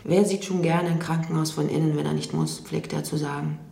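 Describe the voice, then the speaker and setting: A woman's voice: low-pitched, steady tone, a little fast, gravelly, warm tone. An actress in a radio drama playing a restrained, reflective woman who recalls the past in a hesitant, understated way. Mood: restrained reflection. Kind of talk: radio drama interview monologue. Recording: roomy, echoing sound.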